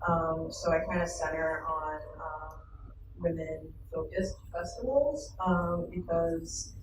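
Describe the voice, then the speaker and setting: A slow, hesitant speaker talks in a casual interview and fills gaps with fillers. The voice sits back from the mic. The room is echoey, with loud ambient noise behind it. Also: mid-range voice. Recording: echoey, distant, noisy room.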